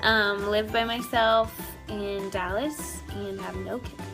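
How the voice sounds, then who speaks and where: A woman's voice: mid-range voice, fairly even intonation, takes it slowly. A woman in her twenties introducing herself, hesitant and correcting herself as she goes. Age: 20s. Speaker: focus group participant. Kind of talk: casual interview introduction. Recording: music playing under voice.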